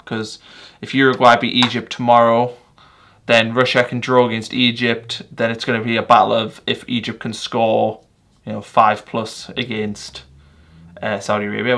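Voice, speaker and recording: A man's voice: medium pitch, pushed and bright. A young man with a North East English accent, giving a casual, rambling football match reaction with fillers and self-corrections. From England. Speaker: football fan vlogger.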